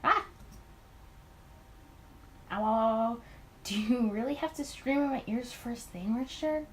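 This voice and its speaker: A woman's voice: a little high, measured pace, slight background noise, close to an everyday voice. A woman voicing a playful, chatty male boss in a visual novel, teasing as he talks. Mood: playful grumbling.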